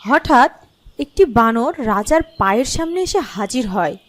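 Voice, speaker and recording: A woman's voice: on the high side, lively intonation, unhurried, a little hiss or hum. A woman narrating a scripted fairy tale as a storyteller.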